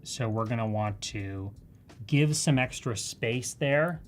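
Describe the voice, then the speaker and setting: Female live tutorial host, Canadian accent, low-lying voice for a woman, chatty and keen, conversational delivery. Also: slow, slight background noise. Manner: chatty, enthusiastic. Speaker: presenter of a live software tutorial. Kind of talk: live demonstration, casual and conversational.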